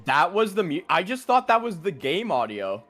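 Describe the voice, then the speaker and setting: A man's voice: high-pitched, very animated, normal speed, fairly bright tone, faint music behind. A man co-commentating a game stream in casual, jokey, sweary banter.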